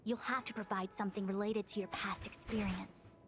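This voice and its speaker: A woman's voice: medium pitch, steady tone, a little fast, noisy background, lightly acted. Formal, self-assured learned diviner played by a woman. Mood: composed, businesslike. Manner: formal, precise, explanatory. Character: learned, exacting female diviner, long-winded and self-assured. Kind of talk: scripted video game character dialogue.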